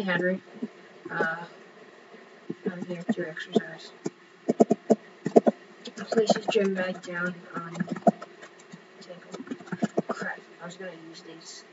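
A young woman casually narrating her own game play, chatting to herself and to other players. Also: on the low side. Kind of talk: casual gameplay commentary. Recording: dull lo-fi mic with clear background hum.

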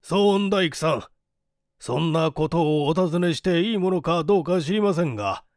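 A man voicing a polite, earnest gentleman in a story reading, deliberate and expressive. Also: on the high side, dry recording, clean studio sound. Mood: curious.

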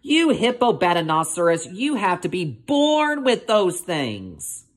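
A woman voicing a huge, patient, amused elephant in a picture-book read-aloud, measured and formal. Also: fairly low pitch, sing-song, heavily acted.

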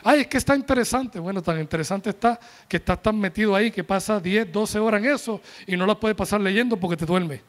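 A man in his forties preaching a sermon from a pulpit, animated and joking as he calls on the congregation, speaking into a handheld microphone. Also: high-pitched, a little fast, hardly any pauses. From United States. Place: church tent stage with a pulpit.

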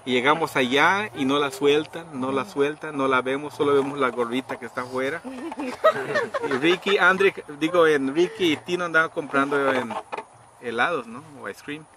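A middle-aged man casually telling a story outdoors, acting out quoted people with sudden high pitched voices. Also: moderate pace. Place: outdoors under trees in a rural yard. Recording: outdoor noise in background.

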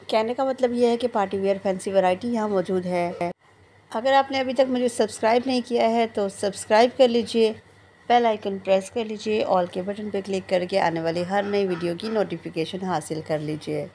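A woman's voice: medium pitch, normal speed, warm tone. A woman narrating a shopping vlog, presenting stalls and prices. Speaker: shopping vlogger. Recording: clearly noisy background.

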